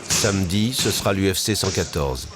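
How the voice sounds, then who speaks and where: A man's voice: deep voice, animated, normal speed, heavy background noise. A man reading scripted documentary narration as the narrator. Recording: narration over clearly audible music. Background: music.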